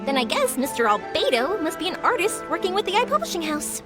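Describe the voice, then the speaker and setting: An upbeat young woman in a fantasy game, fairly high and lively, encouraging in a big-sister way. Light music plays behind her. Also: fast. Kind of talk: game voice acting.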